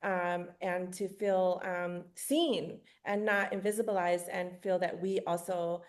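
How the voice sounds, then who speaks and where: A woman moderating an academic discussion, speaking unscripted and affirming, in measured phrases with pauses. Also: lowish voice, steady tone. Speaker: panel moderator.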